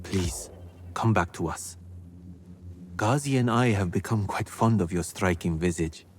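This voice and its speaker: A solemn keeper of secrets. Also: male voice, low-pitched, unhurried, a little hiss or hum. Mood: grave, earnest. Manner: formal, old-fashioned speech. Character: male keeper of ancient secrets, solemn and formal. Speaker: game character. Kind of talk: video game cutscene voice acting.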